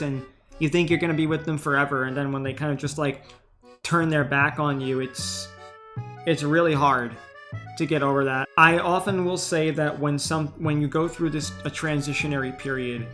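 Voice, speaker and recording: A man's voice: a little high, a bit bright. A man streaming as a VTuber, giving casual, earnest and supportive advice in response to reddit posts.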